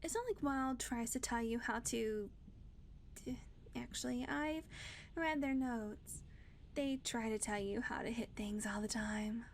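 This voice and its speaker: A woman's voice: on the high side, measured pace, slight background noise. A woman playing a sardonic ageless vampire. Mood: teasing. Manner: dry teasing with frequent soft whispered asides. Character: sardonic ageless female vampire, teasing and blunt. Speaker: audio roleplay performer. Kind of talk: intimate audio roleplay acting.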